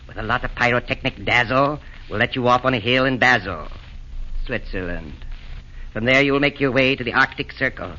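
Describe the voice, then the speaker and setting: A man's voice: mid-range voice, pushed and bright, natural voice. A man playing a pompous chairman of fiends. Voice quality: pompous, sing-song rhyming. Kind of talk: 1940s radio acting. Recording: muffled 1940s radio broadcast.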